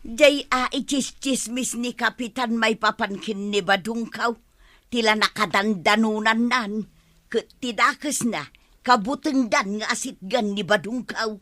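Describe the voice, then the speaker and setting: A gossipy villager in a radio comedy drama. The voice is bright and rather high, in a dry studio sound. She talks confidingly, with a plaintive, complaining edge. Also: unhurried, no echo.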